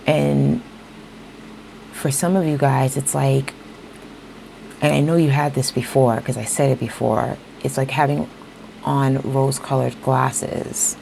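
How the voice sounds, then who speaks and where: A woman giving a casual tarot reading straight to her viewers, a little hoarse as she is losing her voice, often checking in with "okay?". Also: a bass voice, dark tone, slight background noise, poor audio. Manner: talks straight to viewers, repeats "okay?" and "you know". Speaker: tarot reader.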